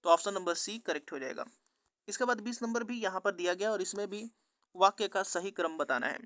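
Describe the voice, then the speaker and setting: A man's voice: high voice, lively intonation, brisk, bright, forceful tone, no room sound. A man working through exam practice questions as a teacher, with an instructional, formulaic question-and-answer delivery. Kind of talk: scripted quiz walkthrough lesson.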